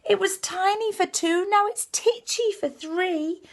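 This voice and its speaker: A woman's voice: very high-pitched, unhurried, voice pushed up. A woman voicing a little old lady in a children's storybook, grumbling and flustered, plaintive and dramatic in her exclamations. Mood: exasperated. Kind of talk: children's storybook read aloud.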